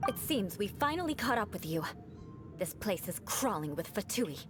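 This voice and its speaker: A woman's voice: medium pitch, sing-song, normal speed, over music, close to an everyday voice. A young woman voicing a proud, aloof noblewoman knight in a video game, speaking in a cool, composed and dry manner.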